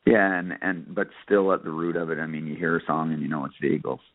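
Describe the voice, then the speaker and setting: A man's voice: extremely low pitch, fairly even intonation, fast talker, no echo. A man giving casual, conversational interview answers with frequent verbal hedging, as a guest musician. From Nova Scotia, Canada. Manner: hesitant, conversational, peppered with "you know". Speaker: interview guest, country singer and guitarist. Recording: narrowband phone-like radio line.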